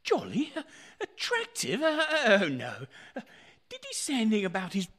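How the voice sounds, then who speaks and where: A woman playing a flustered, anxious wife in a radio play, with breathy, stammering speech. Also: mid-range voice, huge pitch swings, moderate pace, a bit bright, exaggerated voice. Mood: flustered, dismayed.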